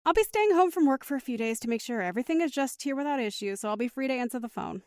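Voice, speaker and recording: A woman's voice: high-pitched, animated, fast, a little mellow, clean tone, no room sound, studio quality. A woman playing a caring, upbeat middle-aged mother in an audio drama, speaking in a chatty, reassuring way. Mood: polite, upbeat. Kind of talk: naturalistic audio drama acting.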